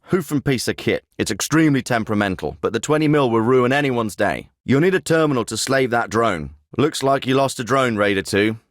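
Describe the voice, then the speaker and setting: An actor playing a terse, profane special forces team leader in a video game. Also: male voice, mid-range voice, expressive, a little fast, few pauses, dry recording, natural voice. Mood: businesslike. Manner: terse, profane and matter-of-fact. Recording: dry studio recording.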